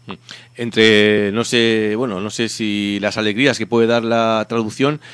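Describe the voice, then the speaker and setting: A man, an author, speaking in a thoughtful, halting way during an interview. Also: on the low side, level tone, fairly bright tone, smooth, no room sound. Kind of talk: conversational interview.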